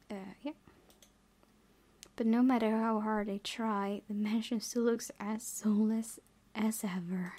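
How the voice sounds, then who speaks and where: A woman reading a game's story aloud and adding casual commentary as she plays. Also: mid-range voice. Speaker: gaming video creator. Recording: loud background noise, game ambience.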